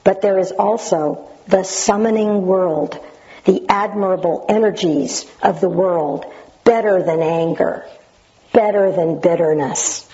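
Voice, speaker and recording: Woman reading real slow and dramatic, loud voice, kinda muffled lo-fi sound. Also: fairly low pitch. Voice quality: naturally loud voice. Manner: dramatic, up-and-down intonation. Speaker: Zen practitioner sharing memories of a teacher. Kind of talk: slow dramatic reading, then reflective sharing.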